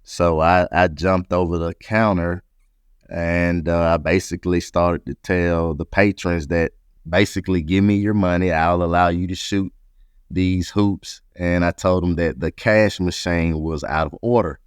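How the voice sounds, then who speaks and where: A man's voice: sits low, fairly even intonation, measured pace, smooth, no echo, clean studio sound. A man from Atlanta, Georgia, speaking as an interview guest and reflectively telling his own story. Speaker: interview guest, company president.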